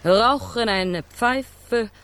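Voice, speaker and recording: A woman's voice: on the high side, drawn-out words, a bit bright. A woman playing a feisty, scornful would-be ship's stoker in a children's radio play, blunt and grumbling, with dramatic exclamations. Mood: eager.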